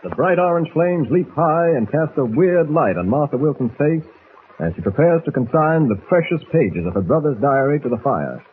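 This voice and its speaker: A man's voice: on the high side, animated, moderate pace, a little hiss or hum. A man narrating a 1940s radio drama in a suspenseful, theatrical scripted style. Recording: tinny, muffled 1940s radio recording.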